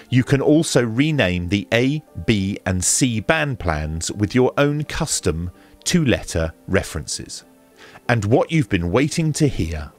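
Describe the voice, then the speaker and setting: A middle-aged man presenting an equipment review in a small home studio, speaking into a desk microphone. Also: low-pitched. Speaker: product reviewer and host. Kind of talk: radio equipment review narration. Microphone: close desk microphone.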